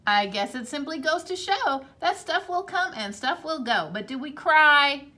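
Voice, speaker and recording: A woman teacher reading a picture book aloud to young children in an animated, exclamatory storytime voice. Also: sits high, a little slow, slight background noise.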